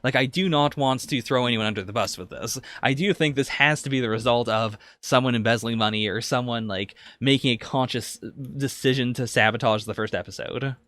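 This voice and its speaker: Man, podcast co-host, conversational, hedging delivery, mid-range pitch, dry recording. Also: a little fast, few pauses, a bit bright, no room sound. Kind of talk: casual anime review discussion.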